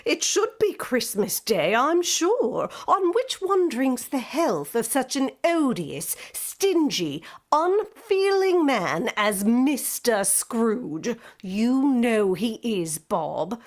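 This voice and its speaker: A woman playing an indignant, sharp-tongued mother and wife, scornful and emphatic, in a radio drama. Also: on the high side, sing-song, slow pace, few pauses, full character voice. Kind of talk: vintage radio drama acting.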